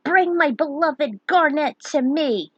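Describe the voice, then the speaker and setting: A woman's voice: high voice, lively intonation, slow pace, a bit bright, dry recording, highs cut off, voice pushed up, full character voice. A woman voicing a yearning old man who declaims a plea in a fantasy story. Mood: pleading. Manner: declaiming, pleading. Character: yearning cloaked old man praying to the moons.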